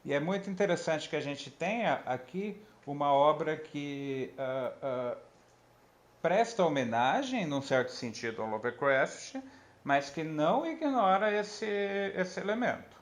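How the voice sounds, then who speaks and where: A man's voice: fairly high pitch, sing-song, measured pace. A man, a professor and author, explaining thoughtfully in conversation, hesitating with many fillers and restarts.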